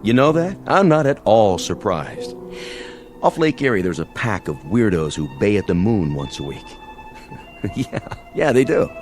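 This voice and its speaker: A man's voice: mid-range voice, close to an everyday voice. An American man playing a domineering, mocking army major in an audio drama, talking in sarcastic, slangy, menacing lines. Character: domineering, mocking army major enforcing order after nuclear war.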